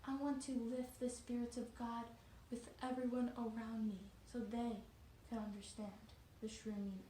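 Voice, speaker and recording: A woman playing a devout religious sister from eighteenth-century France as an interview guest, speaking earnestly and a little haltingly in an amateur role-play. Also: on the high side, level tone, mellow, lightly acted. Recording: roomy, distant mic with background noise.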